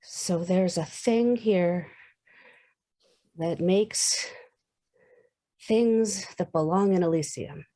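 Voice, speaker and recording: A woman's voice: on the low side, very slow, long pauses, warm tone. A woman playing a thoughtful, subdued and uncertain sister in a horror tabletop roleplay.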